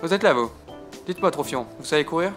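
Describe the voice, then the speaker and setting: A man's voice: a little high, expressive, fast talker, fairly bright tone, faint music behind. A man acting an irascible, self-important French army captain in a comic audio drama, sarcastic, bossy and coarse. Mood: impatient, sarcastic.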